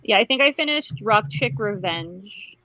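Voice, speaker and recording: A woman's voice: medium pitch, lively intonation, a little slow. A woman in casual conversation about books on a livestream. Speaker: book-chat livestream participant. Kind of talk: casual chat. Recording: dry, dull lo-fi livestream audio.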